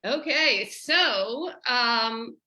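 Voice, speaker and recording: A woman with a bright, forward voice. She speaks as a guest, reflecting on personal experience in thoughtful, halting phrases. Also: fairly high pitch, expressive. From Puerto Rico. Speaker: panel guest, school counselor.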